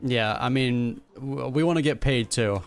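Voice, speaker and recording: A man's voice: mid-range voice, a little slow. A man reacting to a game as a streamer, chatting casually with wry, teasing asides. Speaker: gaming streamer. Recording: faint game music behind.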